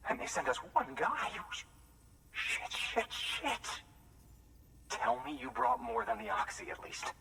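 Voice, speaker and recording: A bright, forceful voice for an anxious but hopeful outpost worker in a video game. He speaks plainly and emotively in halting phrases. He sounds a little away from the mic, with faint noise behind him. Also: very high-pitched, lively intonation, gravelly, far from the mic, exaggerated voice. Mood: shocked, dismayed. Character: anxious, hopeful way station worker in a desolate wasteland. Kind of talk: dramatic video game cutscene acting.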